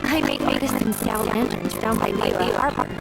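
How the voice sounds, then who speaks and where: A woman playing a gentle, wistful young lantern maker in a video game, speaking in a measured way. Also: extremely low pitch, huge pitch swings, vocal fry, exaggerated voice, rough recording. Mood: polite, earnest. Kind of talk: video game character dialogue. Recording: background music.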